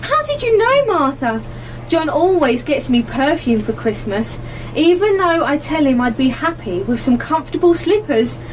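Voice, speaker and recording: Woman acting a very high-voiced, fond dog owner. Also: sing-song, moderate pace. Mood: excited. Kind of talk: amateur radio play acting. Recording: noisy live amateur radio broadcast recording.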